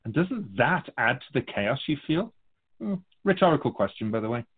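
A man speaking as a spiritual guide, explaining things in a measured, didactic, conversational way. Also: medium pitch, big pitch swings, husky, narrow, tinny audio.

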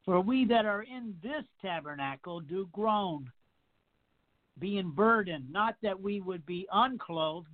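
A man in his sixties preaches with a fairly high voice, piling up repeated phrases and firing off rhetorical questions at his listeners. It sounds like a narrow, tinny phone line. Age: 60s. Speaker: pastor. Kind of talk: radio sermon.

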